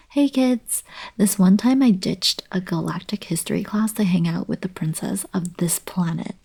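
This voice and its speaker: A young woman playing a friendly, eager alien princess in an ASMR roleplay, soft and whispery, with casual girlish coaxing. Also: mid-range voice, creaky, slightly rough. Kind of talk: ASMR roleplay, intimate acted monologue.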